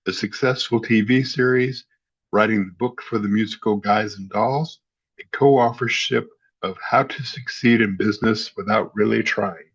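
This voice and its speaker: A man's voice: lowish voice, normal speed, a bit bright, breathy edge, dull audio. A man narrating in a scripted style, reading encyclopedia-like history as a host.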